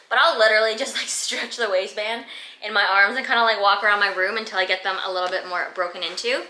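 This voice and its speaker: A woman's voice: mid-range voice, pushed and bright, reverberant, slight background noise, poor audio. A woman of twenty-five from Canada, chatting casually through a fitness Q and A with lots of filler words. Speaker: fitness vlogger. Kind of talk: casual Q and A chat. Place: her room.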